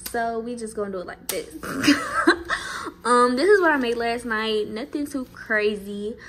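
A woman's voice: a little high, sing-song, unhurried, a bit bright. Laid-back woman vlogging in her kitchen. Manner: laid-back, chatty, lots of fillers. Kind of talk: casual vlog chat to camera. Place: home kitchen, indoors.

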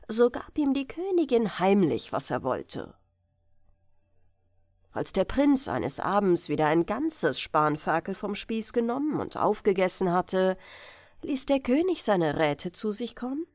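Woman audiobook narrator, fairy-tale bedtime reading, lively intonation, dry close sound, deliberate phrasing. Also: medium pitch, dry recording. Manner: expressive storytelling, deliberate phrasing.

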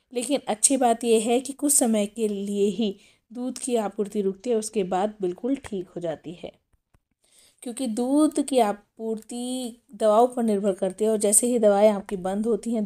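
A woman hosting a pregnancy health channel, explaining step by step and calling her viewers friends, her voice a little hoarse from a cough. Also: on the high side, mellow. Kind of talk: informational talk.

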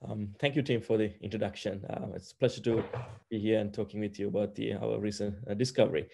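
A man's voice: on the low side, steady tone, fast talker, vocal fry, mellow. A man from Sri Lanka, a physics professor, explaining his research in a video-call interview, hesitant with many fillers and restarts. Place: wooden-walled room at home.

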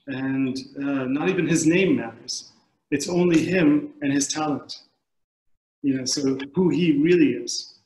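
A man's voice: fairly high pitch, darkish tone, a little hiss or hum. Guy in his 40s, film director on a video call panel, feels his way through answers, roomy echo. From Middle East, born in Kuwait. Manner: halting, searching for words. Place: indoors, book-lined room at home. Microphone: computer mic on a video call. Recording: echoey room over video call.

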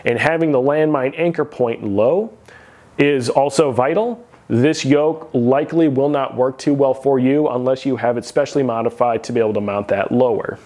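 A man's voice: medium pitch, a little mellow. A man in his thirties, seated in a garage gym, giving a detailed, plain-spoken equipment review to camera.

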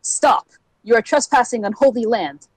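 A woman's voice: on the high side, lively intonation, moderate pace, darkish tone, slightly rough, no room sound, low-quality recording. A woman playing an indignant fantasy guard, stern and affronted, in an animation audition. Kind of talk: character voice acting audition.

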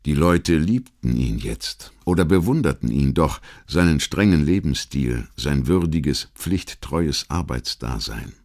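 A man with an extremely low voice reads a scripted history documentary. His delivery is measured and literary, shaped like a rhetorical essay read aloud for an audiobook. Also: expressive, gravelly, darkish tone. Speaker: audiobook narrator.

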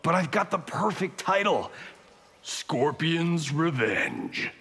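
An actor with an American accent playing a cocky, flippant Hollywood star fighter in a video game, slightly husky. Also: male voice, fairly high pitch, sing-song, slow pace, fairly bright tone, full character voice. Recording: long echoing reverb.

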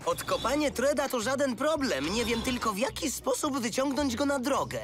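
A man's voice: very high voice, expressive, normal speed, music in the background. A man voicing a good-natured, willing male excavator in a Polish cartoon dub. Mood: puzzled.

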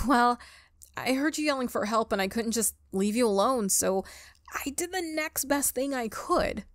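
A woman's voice: on the high side, lively intonation, a little slow, no room sound, studio quality. A woman voicing a shy, awkward, friendly college ghost in a visual novel, nervous, hesitant and stammering. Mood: nervous, eager.

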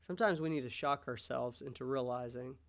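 An American man in his thirties preaching a sermon as a pastor in a measured, pausing way. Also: medium pitch, vocal fry. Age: 30s. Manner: measured, pausing, rhetorical questions. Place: church sanctuary, indoors. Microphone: gooseneck pulpit microphone.

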